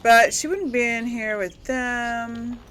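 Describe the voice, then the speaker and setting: A woman's voice: fairly high pitch, takes it slowly, fairly bright tone, a little hiss or hum. A woman hosting a casual let's play, musing aloud informally and hesitantly as she talks and role-plays through a game. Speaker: gaming video host.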